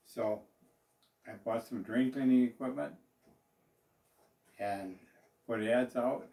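A man's voice: mid-range voice, slow, vocal fry, warm tone. An older man, a business owner talking to the camera in a small office in a plain, rambling way, with fillers and restarts. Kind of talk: speaking to camera about his business. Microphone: far camera. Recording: roomy echo, voice from a distance.

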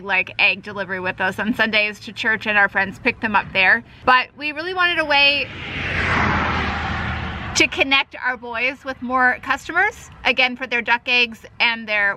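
A woman's voice: on the high side, bright, forceful tone, slight background noise. A woman in her thirties chatting upbeat in a casual vlog, filmed outdoors at a rural farm stand on a phone held at arm's length. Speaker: homesteading vlogger.

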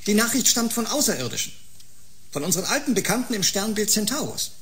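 An actor playing a serious codebreaker in a sci-fi radio drama, reporting urgently and factually. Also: male voice, sits high, very animated, fast talker, a bit bright. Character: serious male codebreaker reporting an alien message.

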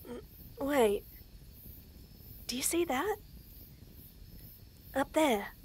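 A young woman voicing a shy, nervous tomboy friend in a romantic roleplay audio, stammering, giggling and speaking softly. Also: high voice, big pitch swings, very slow, slight background noise. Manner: stammering, giggly, soft-spoken. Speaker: voice actor.

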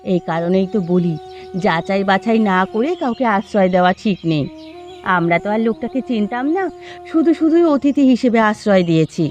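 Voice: mid-range voice, expressive, moderate pace, darkish tone, natural voice. A performer voicing gossipy, hospitable village women in a Bengali cartoon, with music behind. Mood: worried. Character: village women, gossiping mother-in-law and daughter-in-law, hospitable but scornful. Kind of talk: dubbed Bengali cartoon dialogue.

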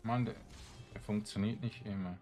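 A man's voice: lowish voice, steady tone, moderate pace, dark tone, faint music behind. A man giving casual let's-play commentary, grumbling in exasperation and muttering to himself as he plays. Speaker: video game streamer.

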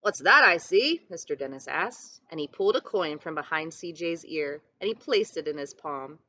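A young woman giving an expressive read-aloud of a children's picture book. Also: sits low, moderate pace. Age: young adult. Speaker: storybook reader. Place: library room with bookshelves, indoors.

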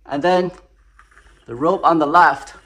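A man slowly and methodically explaining a garage hoist install, step by step. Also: a little high, fairly even intonation, fairly bright tone. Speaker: DIY instructor. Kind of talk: step-by-step how-to narration. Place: home garage.

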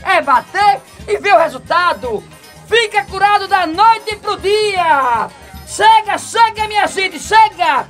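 Shrill old Northeastern Brazilian market hawker. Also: male voice, expressive, slow, soft background music, full character voice. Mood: huckstering. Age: older. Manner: loud street-vendor patter, exclamatory. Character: cheeky old male market hawker of quack remedies. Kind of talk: radio soap opera acting.

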